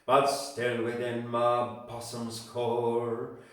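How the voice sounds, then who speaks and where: A man doing a grieving Scottish lover reciting in a slow, declaiming lilt. He lingers heavily on every word. The voice rings out from a distance in a very echoey room. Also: mid-range voice, monotone. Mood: mournful tender. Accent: Scottish, put on. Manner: slow, declaiming, raised and ringing. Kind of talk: reciting verse aloud. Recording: very echoey room, mic far off.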